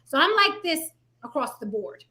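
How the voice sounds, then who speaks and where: A woman's voice: high voice, big pitch swings, normal speed, sharp tone. A woman hosting a panel discussion, talking bluntly and emphatically. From Puerto Rican heritage. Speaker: host.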